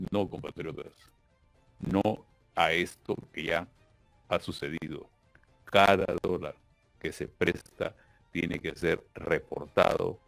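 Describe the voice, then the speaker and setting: Peruvian male candidate, emphatic and solemn; deep, slow delivery. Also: creaky, dry recording, low-quality recording. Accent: Peruvian Spanish. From Peru. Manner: solemn, emphatic, repeats words while searching. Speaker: political candidate. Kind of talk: campaign interview speech.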